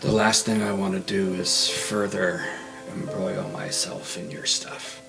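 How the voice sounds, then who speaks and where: A man's voice: low-pitched, little pitch movement, measured pace, creaky. A man playing a blunt, easygoing young student adventurer in a tabletop game, with a casual, dry manner. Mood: wary, firm. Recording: music playing behind the voice.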